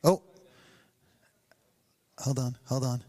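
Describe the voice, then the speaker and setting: A man in his forties, a pastor leading a group debrief on a handheld mic, relaxed and joking with many fillers. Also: mid-range voice, slow pace. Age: 40s. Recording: long hall reverb.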